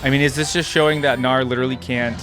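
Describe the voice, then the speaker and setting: A man gives casual reaction commentary. His voice sits at a middle pitch, and he muses aloud conversationally as he watches, with soft music in the background. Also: fairly even intonation, quick pace. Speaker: reaction video host.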